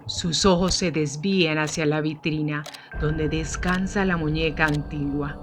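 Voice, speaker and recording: A woman narrating a scripted gothic mystery story in a measured, solemn manner. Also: sits low, steady tone, noisy background.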